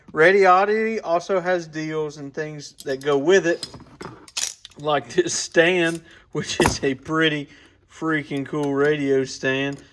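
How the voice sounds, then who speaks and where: A man's voice: on the high side, fairly bright tone. A man hosting an informal, off-the-cuff product review, thinking aloud as he goes. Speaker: host of a radio shop's review channel. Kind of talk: casual hands-on product review.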